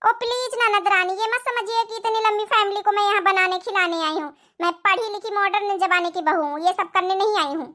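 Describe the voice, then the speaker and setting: A woman voicing a squeaky, brash young bride. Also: fairly even intonation, fairly quick, few pauses, pushed and bright. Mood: defiant. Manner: defiant, sassy, mixing in English words. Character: brash, haughty modern new daughter-in-law. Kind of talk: comedy cartoon dialogue.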